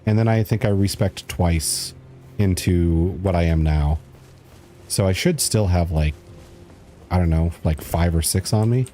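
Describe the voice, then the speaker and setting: Low mellow-voiced male streamer. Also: a little slow, faint music behind. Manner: joking, laughs often. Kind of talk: casual chat while playing a video game.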